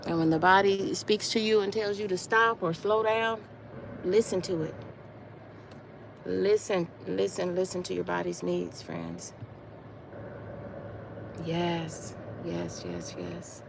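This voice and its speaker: A woman in her late forties talks casually to her livestream viewers, often repeating her words. Her voice sits low with a gravelly edge. There is faint wind noise from her walk outdoors. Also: expressive, slow, pauses often. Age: 40s. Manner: chatty, repeats phrases, addresses viewers as y'all. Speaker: livestream host.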